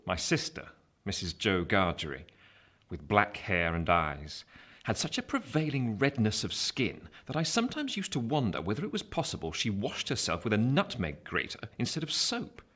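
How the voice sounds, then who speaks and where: Lively, low-voiced English storyteller. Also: male voice, moderate pace, breathy edge, heavily acted. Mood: wry, storytelling. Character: grown man recounting his childhood, reflective storyteller. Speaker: narrator. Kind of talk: dramatic literary narration. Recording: faint background noise.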